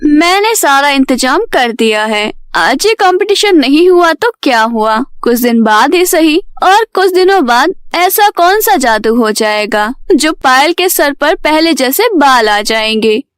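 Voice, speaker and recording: A woman's voice: sits high, lively intonation, unhurried, few pauses, no echo, clean studio sound. A woman voicing a sly, scheming young female rival in a story, coaxing and conniving in manner. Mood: smug.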